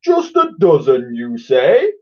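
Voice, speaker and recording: A man's voice: fairly high pitch, huge pitch swings, takes it slowly, slightly rough, voice pushed up, heavily acted. A man voicing a playful, mischievous slime creature in a storybook reading. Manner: cheeky, mischievous. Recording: dull lo-fi recording.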